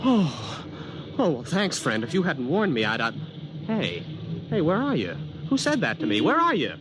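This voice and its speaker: An actor playing an anxious young college student who narrates a 1950s radio drama, wry and prone to nervous stammering. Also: male voice, fairly high pitch, wildly swooping pitch, moderate pace, background noise. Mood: startled, bewildered.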